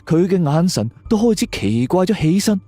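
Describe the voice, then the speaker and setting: A man's voice: high-pitched, big pitch swings, normal speed, dark tone, no echo, faint music behind. A man narrates a suspense story in the first person, dramatically.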